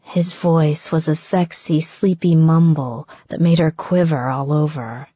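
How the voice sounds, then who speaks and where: A woman reading romance fiction as an audiobook narrator, with expressive, dramatic phrasing. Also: deep voice, unhurried, breathy edge, no room sound, lo-fi sound.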